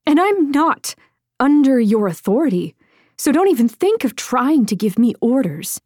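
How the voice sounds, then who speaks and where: A woman's voice: fairly high pitch, expressive, moderate pace, a little mellow, no room sound, clean studio sound, voice pushed up. A woman voicing a stubborn, fiery young woman healer in an audiobook, with a defiant, strident manner. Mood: indignant. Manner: indignant and strident.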